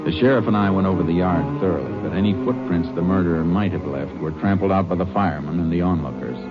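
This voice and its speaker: An actor playing a steady, methodical Texas Ranger investigator, measured and matter-of-fact, in old-time radio western style. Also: male voice, deep voice, loud music behind the voice, close to an everyday voice. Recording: muffled, noisy old radio broadcast recording.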